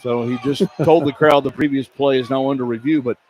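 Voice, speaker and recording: A man's voice: mid-range voice, fairly even intonation, fairly quick, a little mellow, rough recording. A man doing live radio play-by-play commentary of a basketball game as the announcer. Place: courtside in a basketball arena. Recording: clear arena noise behind broadcast.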